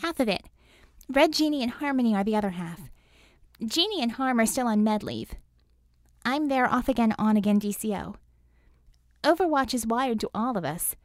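Woman reader as superhero medic, female, for an audiobook: brisk and wry, raised pitch, punchy, close dry sound. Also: animated, dry recording, natural voice.

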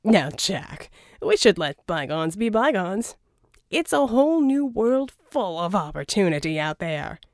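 A woman's voice: medium pitch, sing-song, unhurried, a little mellow, no echo, studio quality. A woman voicing a flamboyant, smug, scheming male villain in an audiobook, with a theatrical, wheedling manner. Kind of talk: audiobook character dialogue.

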